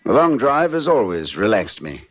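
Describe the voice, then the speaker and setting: An actor playing a troubled, darkly wry husband in an old-time radio drama, confessing in a theatrical, halting way. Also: male voice, on the low side, very animated. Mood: shaken, reflective. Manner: theatrical, halting with repeated words. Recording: dull vintage radio recording with music.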